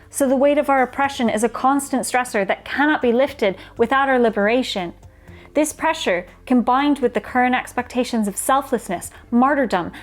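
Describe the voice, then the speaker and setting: A woman reading scripted explanatory narration in a measured, formal and earnest way. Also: on the high side. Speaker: guest contributor on activism.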